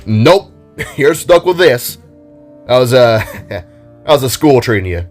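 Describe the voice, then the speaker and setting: A man's voice: mid-range voice, very animated, slow pace, faint music behind. A man voicing a playful, cocky young guy in an audio drama, teasing in slang like a big brother. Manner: slangy, teasing, stammers when caught out.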